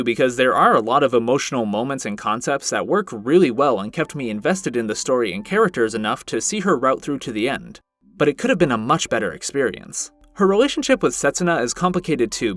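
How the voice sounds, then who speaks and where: A man's voice: mid-range voice, a bit bright. A man narrating a scripted video review with casual asides, in an emphatic, opinionated, conversational manner. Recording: music playing behind the voice. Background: background music.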